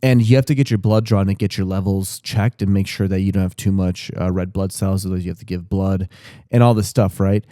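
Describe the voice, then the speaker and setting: Low-voiced man in his thirties, candid and rambling. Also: steady tone, fairly quick, mellow, no echo, clean studio sound. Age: 30s. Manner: candid and rambling, with frequent restarts and casual swearing. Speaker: podcast co-host. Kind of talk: casual podcast chat.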